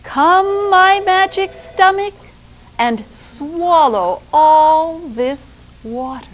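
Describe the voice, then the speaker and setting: A woman voicing a small, brave male rooster who crows high and insistent in a storybook tale. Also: animated, drawn-out words, exaggerated voice. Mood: defiant crowing. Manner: high crowing calls.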